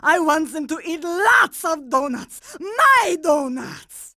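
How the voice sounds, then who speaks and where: A creaky old granny villain, sickly sweet n menacing, talks real slow, cartoon game. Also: female voice, very high voice, big pitch swings, sharp tone, dry recording, clean studio sound, full character voice. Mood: sickly-sweet menace. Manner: sickly-sweet, gloating, drawn-out. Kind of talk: cartoon video game voice acting.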